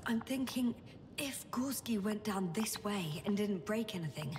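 An actress playing a composed, wry woman scientist exploring a planet in a video game, speaking in a measured, dry way. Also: medium pitch, lively intonation, background noise, natural voice.

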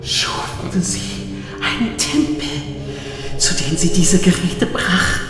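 A man playing a mad, tormented Jedi master in a German audio drama, feverish and halting, obsessively repeating himself. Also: high voice, expressive. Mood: haunted, intense. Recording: heavy echo and long hall reverb. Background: music.

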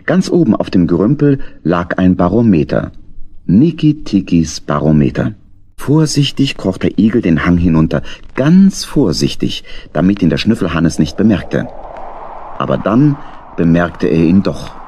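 Deep husky male storyteller. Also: sing-song, unhurried, dark tone, no room sound. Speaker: narrator. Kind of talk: dramatic children's story narration.

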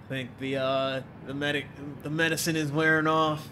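A man's voice: on the high side, little pitch movement, normal speed, noisy background. A young man with a stuffed-up nose chatting casually with viewers while he streams a racing game from home, reading out chat and joking along. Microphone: desk mic, webcam framing.

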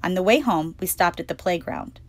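A woman hosting storytime, reading a children's picture book aloud with expressive, clearly phrased delivery. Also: fairly low pitch, a little fast, dry recording. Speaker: storytime reader and host.

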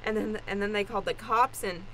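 A woman's voice: medium pitch, fairly even intonation, a little fast, a bit bright, natural voice. Frazzled, stammering young woman fugitive played by an actress, noisy recording. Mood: agitated, rambling. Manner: stammering, breathless rambling. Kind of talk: improvised old-time radio play acting.

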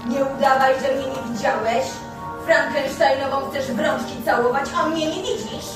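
A woman's voice: sits high, steady tone, normal speed, lightly acted. A woman playing a haughty, mocking vampire countess in a live comic horror play, drawling with theatrical sarcasm. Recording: echoey stage hall, distant, music behind.

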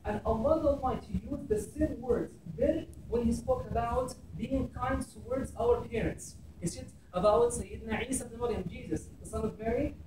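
A man's voice: very high-pitched, normal speed, hardly any pauses, noisy background. A man in his thirties from Egypt with an Egyptian Arabic accent, giving a public talk in a classroom and explaining scripture in English. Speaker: speaker. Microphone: far camera. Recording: echoey room, from far off.

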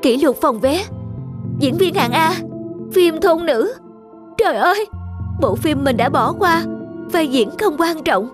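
A woman voicing a vain, ambitious young woman student actress in an animated series, talking in a boastful, dismissive way. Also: sits high, slow pace, pauses often. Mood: despairing. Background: background music.

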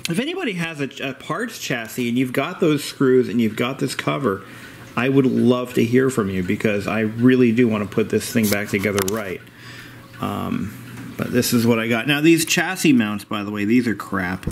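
A man narrating a vintage radio repair in a casual, rambling, halting and plain-spoken way, as a hobbyist vlogger. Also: mid-range voice, animated. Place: indoors in a home. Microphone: handheld camera, operator behind it. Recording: clear background room noise.

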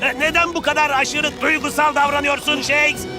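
A vain star striker from a football cartoon. The voice sits very high and is theatrical and boastful, full of melodrama. Music plays clearly behind it. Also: male voice, little pitch movement, moderate pace. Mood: anxious. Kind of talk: animated cartoon dub acting.

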